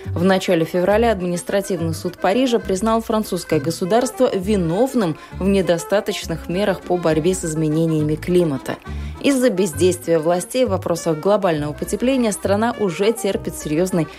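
A woman's voice: fairly low pitch, a little fast, warm tone, faint music behind. A woman presenting a radio programme from a script as its host.

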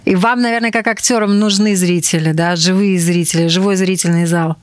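A woman's voice: fairly low pitch, fairly even intonation, a little fast, no echo. A woman radio host in a conversational studio interview, phrasing thoughts reflectively and often checking in with questions.

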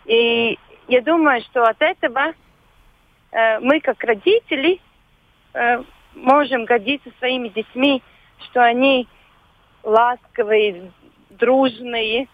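A woman with a Latvian accent, whose first language is Latvian, speaks as a guest on a radio show by phone. She talks hesitantly and often pauses to search for words. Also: fairly high pitch, no echo. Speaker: volunteer guest. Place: phone call into a radio studio. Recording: dull lo-fi phone line.

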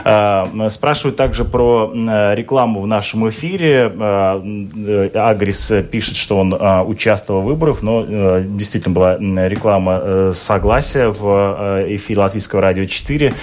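Male radio host, lowish voice, halting delivery, reads listener mail. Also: a little mellow. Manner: hesitant, many fillers. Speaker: radio talk show host. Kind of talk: radio discussion, reading listener messages.

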